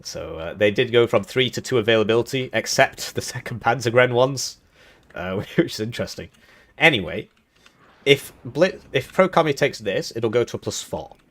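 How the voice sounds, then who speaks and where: A man's voice: mid-range voice, expressive, moderate pace, fairly bright tone. A young man hosting a live game tournament stream, talking casually with jokes and chuckles while commentating the match. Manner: rambling, joking, chuckling between remarks. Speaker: tournament host and caster.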